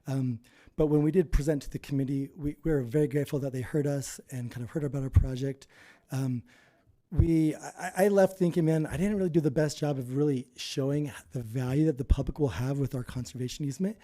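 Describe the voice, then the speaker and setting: A nervous, hoarse man from Utah, speaking earnestly as a landowner giving public comment at a meeting. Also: mid-range voice, a little fast, mellow.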